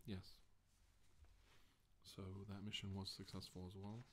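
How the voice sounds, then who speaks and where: A man in his forties doing a soft ASMR role-play of a formal deposition, speaking haltingly and formally, with hesitant pauses. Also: deep voice, little pitch movement, a little hiss or hum. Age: 40s. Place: small indoor room, seated at a desk.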